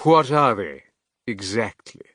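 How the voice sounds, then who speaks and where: A brash, theatrical male time-traveller who declaims in a raised, lively voice, as a man reads him out in an audiobook. He speaks with pushy insistence, and his pitch swings widely. The sound is close and dry. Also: takes it slowly, dry recording, heavily acted. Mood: pressing. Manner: emphatic, declaiming, higher and louder than the narration. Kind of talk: audiobook character voice.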